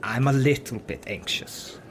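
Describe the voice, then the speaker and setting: A man's voice: medium pitch, lively intonation, a little slow, a little hiss or hum. Jittery, swooping man playing an old dwarf priest. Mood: nervous. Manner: faltering, nervous. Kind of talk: acted fan fiction audio drama.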